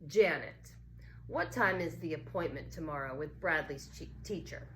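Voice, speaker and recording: A woman's voice: low-pitched, expressive, normal speed, fairly bright tone, slight background noise. A woman voicing a grumpy, short-tempered father in a storybook, speaking in a stern, commanding manner. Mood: firm, settling.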